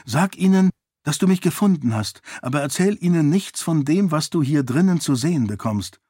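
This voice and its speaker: A man voicing a determined young princess, pitched up. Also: expressive, normal speed, warm tone, breathy edge, dry recording, clean studio sound. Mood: brisk, reassuring. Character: determined young princess, calm, stubborn, affectionate.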